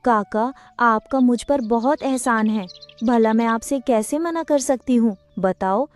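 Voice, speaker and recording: A woman voicing a kind crippled female goat in a Hindi cartoon, speaking gently. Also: fairly high pitch, measured pace, soft background music, close to an everyday voice. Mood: helpful. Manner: gentle, forgiving. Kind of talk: cartoon voice acting.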